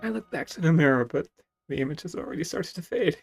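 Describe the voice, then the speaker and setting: A man's voice: fairly high pitch, lively intonation, fast, vocal fry. A man reading a story game's text aloud in a dramatic style, with casual asides and music behind him.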